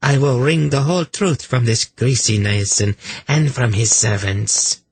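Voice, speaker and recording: A man's voice: mid-range voice, big pitch swings, unhurried, a little hiss or hum, pitched above the performer's own voice. Man acting throaty, purring, cruel priestess. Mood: eager persuasion. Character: cruel, scheming priestess, imperious and smugly menacing.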